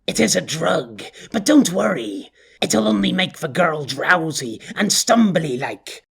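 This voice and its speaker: A man voicing a blustering, boastful petty male gang boss who rants and bosses his crew around, with a husky voice. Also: high voice, very animated, measured pace, fairly bright tone, pitched above the performer's own voice, full character voice. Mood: bossy. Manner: boastful, bossy, rough-talking.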